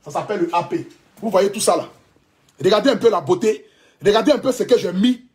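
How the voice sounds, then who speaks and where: A man's voice: sits high, expressive, brisk, darkish tone. A man in his thirties with an Ivorian French accent, giving a fervent, repetitive, defiant livestream address as a social media blogger. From Ivory Coast. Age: 30s. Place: indoors, clothing rack behind.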